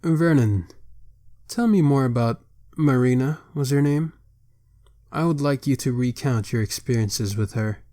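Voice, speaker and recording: A man plays a stern vampire father who heads a council, speaking in a formal, probing way. His voice sits at an ordinary middle pitch, and he commands the room in this amateur roleplay. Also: measured pace, mellow, soft background music, lightly acted.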